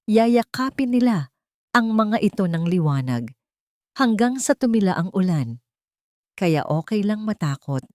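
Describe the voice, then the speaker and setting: A woman voicing a gentle, protective young housemaid in an audio story, tender and soothing as she comforts frightened children. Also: mid-range voice, dry recording, clean studio sound, voice pushed up.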